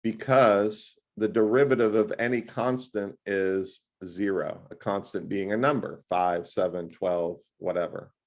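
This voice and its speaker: A man tutoring math one-on-one over a video call, talking loudly in an animated, joking way. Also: fairly low pitch, measured pace, hardly any pauses. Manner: loud, animated and jokey.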